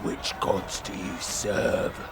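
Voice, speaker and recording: A man playing a grim, huge champion knight in an audio drama, with a gravelly voice. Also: takes it slowly, over music, a crowd in the background, natural voice. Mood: grim menace. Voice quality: gravelly, creaky.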